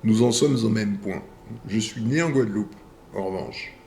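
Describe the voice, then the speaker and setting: A man hosting a video channel. He reads viewer messages aloud and answers them in a deliberate way, in short phrases with pauses. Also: lowish voice, gravelly, a little mellow, a little hiss or hum.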